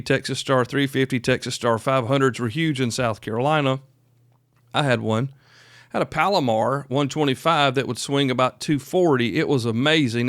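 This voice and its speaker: A man's voice: mid-range voice, dry recording. A man in his fifties hosting a solo podcast, talking casually and informally, repeating phrases for emphasis, close on the microphone. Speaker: podcast host.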